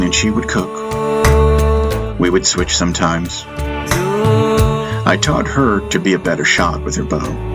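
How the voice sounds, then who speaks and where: A man narrating a first-person fantasy audiobook in a measured way, with dramatic pauses. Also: sits low. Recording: music bed under the voice. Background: background music.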